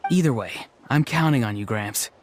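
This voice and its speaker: A woman's voice: a bass voice, lively intonation, moderate pace, heavy background noise. A young woman voicing an earnest, naive, boyish young hero in a video game, sincere and a little quirky. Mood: earnest, pleading. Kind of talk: video game character dialogue. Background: game background music.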